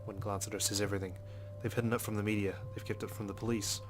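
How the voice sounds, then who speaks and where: An actor as a low-voiced, serious police detective. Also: male voice, flat delivery, rapid-fire, a little hiss or hum, lightly acted. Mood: brooding, resolute. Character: dogged, skeptical male police detective, inner narrator and speaker. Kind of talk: scripted visual novel narration and dialogue. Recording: music behind the voice.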